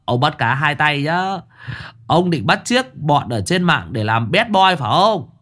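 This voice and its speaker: A man voicing a fussy, sharp-tongued male master who nags and scolds in a rapid-fire way. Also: a little high, very animated, voice pushed up. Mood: mocking scolding.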